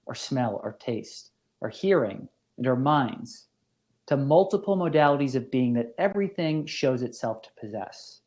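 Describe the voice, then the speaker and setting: A male professor narrates a scripted academic lecture in a mid-pitched voice. His phrasing is deliberate and literary, each clause carefully set out. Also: darkish tone.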